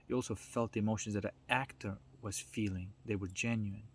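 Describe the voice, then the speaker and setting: A man with a fairly low, noticeably husky voice talks through ideas in an informal monologue. He explains things step by step in a halting way, often searching for the next word. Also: steady tone. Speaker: speaker on self-development.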